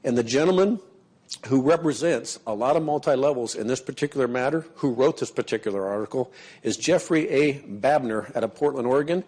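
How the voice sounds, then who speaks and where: A man hosting a seminar, reading an article aloud in a steady, measured way before introducing the next speaker. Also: mid-range voice, slightly rough. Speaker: seminar host.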